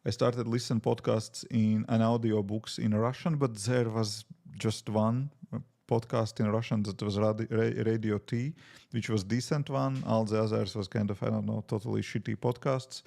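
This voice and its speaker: A man's voice: on the low side, little pitch movement, moderate pace, gravelly, a little mellow. A man from Latvia speaking English with a Latvian accent, chatting casually on a live coding stream and thinking aloud with many fillers. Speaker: app developer streaming live. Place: home desk.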